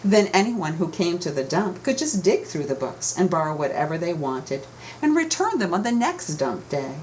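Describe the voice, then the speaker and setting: A woman reading a children's storybook aloud with expressive, dramatic emphasis, as an author telling her own tale. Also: on the low side, moderate pace, slight background noise, low-quality recording.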